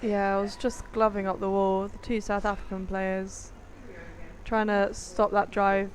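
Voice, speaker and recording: A woman's voice: mid-range voice, level tone, a little slow. A woman giving live match commentary as a sports co-commentator.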